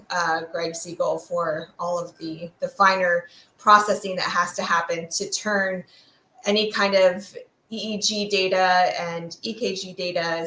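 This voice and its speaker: A woman's voice: fairly low pitch, bright, forceful tone, slight background noise. A woman speaking as an expert guest in an interview, explaining science conversationally and thoughtfully, searching for her words. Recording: roomy, echoey room sound.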